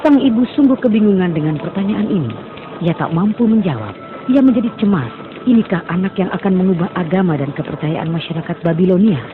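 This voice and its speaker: A man narrating a cartoon story in a steady, dramatic storytelling manner. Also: sits high, fairly quick, noisy background. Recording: tinny phone-like sound under music.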